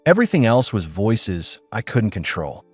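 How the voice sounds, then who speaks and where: A man voicing a quiet, wounded young alien woman who speaks low and direct. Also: sing-song, normal speed, husky, no room sound, faint music behind. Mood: soft, earnest.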